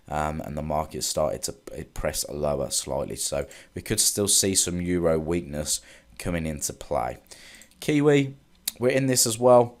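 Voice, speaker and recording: Man with a stuffy head cold, low voice, loose chart recap. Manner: relaxed, hesitant, many ums and restarts. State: congested from a cold. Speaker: forex trading analyst. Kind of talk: casual market recap with charts.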